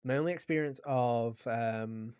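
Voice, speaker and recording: A man's voice: mid-range voice, a little slow, a little mellow, smooth, dry recording, studio quality. Blunt, rambling young man chatting about games. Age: young adult. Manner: opinionated, blunt, swears; rambling, anecdotal storytelling. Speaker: podcast host. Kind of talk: casual gaming podcast chat.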